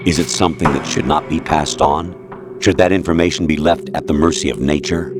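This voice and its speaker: An actor voicing a formless artificial intelligence in a video game, cold, calm and superior, lecturing in a measured, imperious way. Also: male voice, rumbling low. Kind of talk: dramatic video game voice acting. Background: music.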